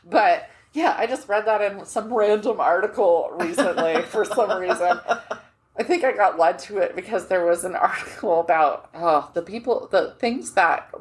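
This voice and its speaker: An American woman co-hosting a podcast, chatting casually with expressive, laughing asides and restarts. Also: mid-range voice, normal speed. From United States. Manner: expressive, gestures and laughs, trails off mid-thought. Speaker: podcast co-host. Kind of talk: casual chat.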